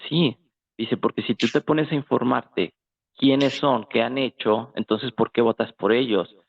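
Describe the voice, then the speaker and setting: A man's voice: mid-range voice, creaky. A man with a Mexican accent in casual, conversational discussion, talking colloquially and checking in with tag questions. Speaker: guest in a political discussion. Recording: tinny phone-like, roomy echo.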